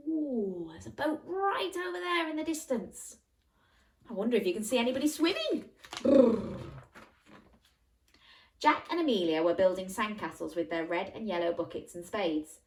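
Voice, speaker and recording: Woman reading playfully to young children. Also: mid-range voice. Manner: animated, playful asides with questions to the listener. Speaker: children's storyteller. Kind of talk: interactive bedtime story reading for young children.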